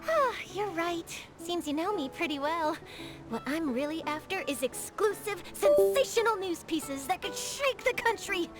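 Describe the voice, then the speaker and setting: An actress playing a bubbly, ambitious young woman reporter in an animated game, gushing and dramatic. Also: very high voice, measured pace, over loud music. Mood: eager, boastful.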